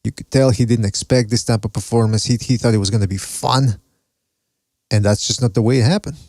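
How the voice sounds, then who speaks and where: A man's voice: mid-range voice, fairly even intonation, brisk, darkish tone, dry recording, studio quality. A man from Mexico with a mixed foreign accent, hosting a solo boxing podcast and reading the live chat in a casual, rambling way with cursing and laughs. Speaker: podcast host.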